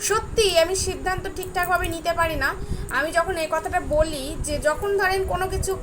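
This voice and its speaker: High-pitched young woman, noisy recording. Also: moderate pace. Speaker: devotional vlogger. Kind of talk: personal devotional talk to viewers. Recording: clearly noisy, ambient background sound.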